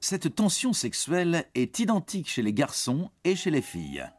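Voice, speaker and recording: A man's voice: fairly high pitch, huge pitch swings, normal speed. A man giving scripted educational narration for young teens in a reassuring, explanatory manner. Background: background music.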